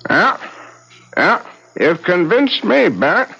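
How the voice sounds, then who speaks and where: An actor playing a stern, sardonic police commissioner in a 1970s radio drama, with an authoritative, dry manner. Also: male voice, sits high, very animated, slow, creaky, bright, forceful tone, husky, heavily acted. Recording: dull 1970s radio broadcast recording.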